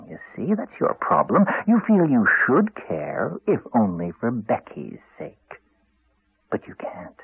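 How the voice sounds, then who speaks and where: An actor playing a bitter, cold, controlling husband in a radio drama, speaking in a measured, accusing, intimate way. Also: male voice, on the low side, gravelly, husky, no room sound, heavily acted. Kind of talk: 1980s radio drama monologue. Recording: dry, narrow-band old radio recording.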